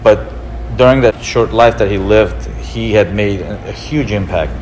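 A man in his thirties from Russia, a restaurant owner giving a relaxed, explanatory documentary interview. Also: on the low side, unhurried, slight background noise. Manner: relaxed, explanatory, gestures while talking. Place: indoor room with leather couch.

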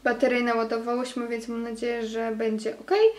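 Woman, 20s, from Poland. Gushing casual vlogger on a close handheld camera, slightly high voice, roomy audio. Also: monotone, darkish tone. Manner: chatty, gushing, quick asides to viewers. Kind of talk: casual vlog chat to camera. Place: small bedroom at home. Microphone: handheld camera held close.

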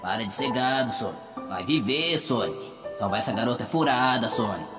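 Man voicing an exasperated, animated Brazilian hedgehog hero. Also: medium pitch, brisk, music in the background, close to an everyday voice. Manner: whiny, sweary, sarcastic. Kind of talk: Brazilian fan parody dub.

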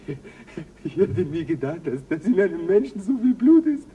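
A man's voice: high-pitched, very animated, normal speed, warm tone, muffled recording. An actor playing a shaken crewman after a killing, with a breaking voice, in a radio drama. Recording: noisy radio play recording.

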